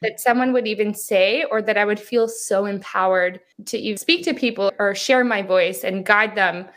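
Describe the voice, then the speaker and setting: A woman in her thirties, a hypnotherapist, talking as a podcast guest, chatty and upbeat, with a soft, soothing voice and frequent laughs. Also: medium pitch, moderate pace. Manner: talkative, upbeat, laughs often. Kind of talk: casual interview chat.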